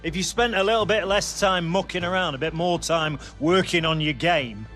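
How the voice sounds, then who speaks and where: An actor playing a stern older football coach in a game drama, speaking bluntly and with gruff authority. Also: male voice, high voice, normal speed, fairly bright tone. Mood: challenging. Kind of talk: dramatic video game cutscene acting. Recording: music behind the voice.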